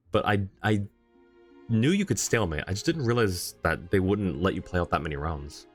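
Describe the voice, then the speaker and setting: A man live streaming a game, chatting casually with viewers in an easygoing, rambling way and reading comments aloud. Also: low-pitched. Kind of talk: casual chat with viewers while gaming.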